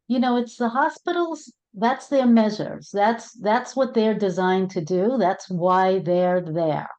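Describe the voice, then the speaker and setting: A middle-aged woman with a Brooklyn accent talks earnestly in a casual conversation, often repeating words as she finds her thought. Her voice sits at a medium pitch. The audio is muffled and lo-fi. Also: darkish tone. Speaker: end-of-life doula, co-host. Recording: dull lo-fi audio.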